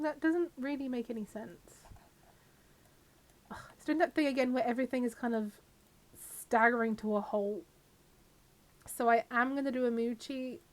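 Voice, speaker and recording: A woman with a slightly scratchy voice from a cold, chatting casually as she live-streams a game to viewers and talks to its characters. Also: sits high. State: has a cold.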